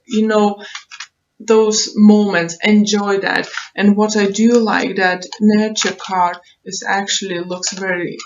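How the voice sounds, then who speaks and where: Slow-paced woman giving a tarot reading. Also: mid-range voice, muffled recording. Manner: halting, repeats phrases, thinks aloud. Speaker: tarot reader, online show host. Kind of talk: unscripted tarot reading, advice to viewers.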